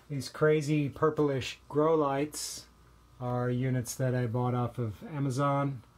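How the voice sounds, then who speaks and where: Relaxed middle-aged man explaining his gear. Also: medium pitch. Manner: relaxed, plain explaining with fillers. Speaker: vlogger. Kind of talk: casual show-and-tell walkthrough. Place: small basement utility room.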